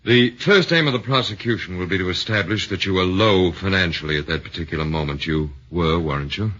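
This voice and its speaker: A low-voiced, probing lawyer. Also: male voice, a bit bright, slightly rough. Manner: measured, probing, formal. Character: shrewd, methodical defense lawyer. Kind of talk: 1940s radio acting. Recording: muffled 1940s radio broadcast.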